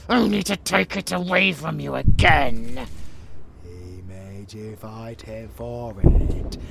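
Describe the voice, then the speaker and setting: Slow, gravelly, sadistic vampire monster. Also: male voice, mid-range voice, sharp tone, slight background noise, full character voice. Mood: bitter, mocking. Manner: menacing, foul-mouthed, savoring words slowly. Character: sadistic, gloating male vampire monster who relishes slaughter. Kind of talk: monster audio roleplay, theatrical monologue.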